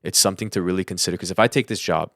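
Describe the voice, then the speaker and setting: A man's voice: low voice, rapid-fire, fairly bright tone, no room sound, clean studio sound. A young adult man with an American accent talking casually and earnestly on a podcast, giving advice as a co-host.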